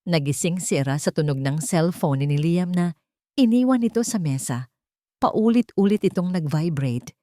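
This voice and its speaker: A woman narrator tells a dramatic story from a script. Her voice sits low for a woman. The sound is dry, with no room around it. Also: lively intonation, normal speed, mellow, no room sound.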